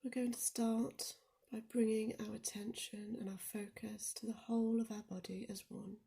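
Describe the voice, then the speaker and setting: A woman guides a body scan meditation in a mellow, relaxed voice with a creaky edge. Her delivery is soft and soothing, often sinking close to a whisper, and she leaves gentle pauses between phrases. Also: on the high side. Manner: slow and deliberate with gentle pauses.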